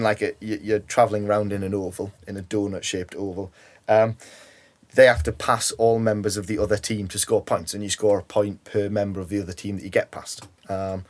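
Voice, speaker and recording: A young man from Newcastle with a Geordie accent, chatting casually as an interview guest and filling his talk with ums and "you know"s. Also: on the low side. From Newcastle, England. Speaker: guest, ambulance worker.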